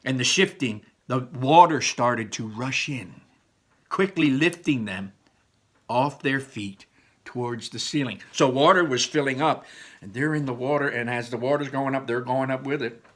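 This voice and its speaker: A man's voice: mid-range voice, normal speed, fairly bright tone. A man reading aloud to children as a storyteller, dramatic and emphatic, with simple explanatory asides.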